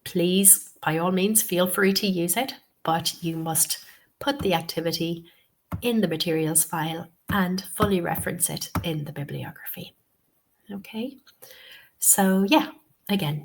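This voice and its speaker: A woman tutor with a cold and a sniffly voice gives a webinar lesson. She talks patiently, repeats her key points and often says "okay" and "um". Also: on the low side. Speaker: TEFL course tutor. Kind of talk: webinar instruction.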